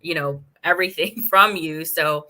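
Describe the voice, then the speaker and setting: Bright-voiced woman host with an Indian accent. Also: deep voice, moderate pace. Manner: affirming and chatty, often laughs and murmurs agreement. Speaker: podcast host. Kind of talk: casual interview chat.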